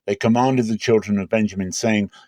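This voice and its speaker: A man with a lowish voice reads scripture aloud as a dramatic narration. His delivery is measured and solemn, with clear emphasis on key phrases. The sound is very dry, with no room around it. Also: steady tone, a little mellow, no echo. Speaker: Bible reader.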